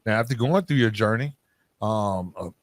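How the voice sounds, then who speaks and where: A man's voice: on the low side, animated, moderate pace, no room sound. Casual man hosting a podcast, dry sound. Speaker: podcast host interviewing a guest. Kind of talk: casual conversational interview. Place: living room at home.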